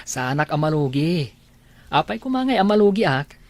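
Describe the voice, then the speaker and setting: A man's voice: fairly high pitch, very animated, normal speed. A man acting a lovestruck, teasing young village man in an audio drama, with an Ilocano accent. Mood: playful, warm. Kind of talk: amateur Ilocano radio-style comedy drama acting.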